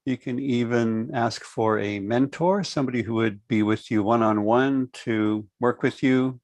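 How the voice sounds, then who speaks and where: Calm man hosting an online class. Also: medium pitch, no echo. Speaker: host. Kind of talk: friendly online class introduction. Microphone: video call.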